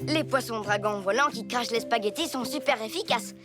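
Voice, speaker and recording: A woman voicing an eager, earnest young boy rabbit in a preschool cartoon, with childlike, expressive delivery. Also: on the high side, fairly quick, over music, close to an everyday voice.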